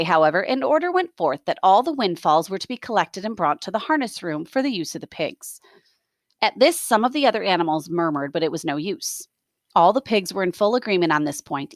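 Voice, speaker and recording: A woman reading a story aloud as a teacher, expressive, with explanatory asides. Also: mid-range voice, no echo, clean studio sound.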